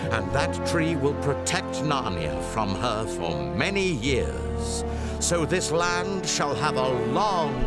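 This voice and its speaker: An actor playing a great lion ruler in a radio drama, solemn, grave and tender, with a measured, majestic delivery and weighty pauses. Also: male voice, medium pitch.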